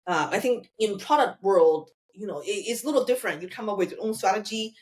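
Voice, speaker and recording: A woman's voice: medium pitch, brisk. A woman hosting a podcast, asking friendly, encouraging questions with some fillers. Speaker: podcast host. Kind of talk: interview questions.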